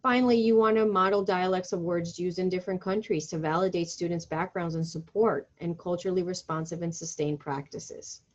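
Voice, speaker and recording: Lady reading webinar slides to teachers, lowish voice, kinda muffled audio. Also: steady tone, brisk, hardly any pauses. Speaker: webinar presenter.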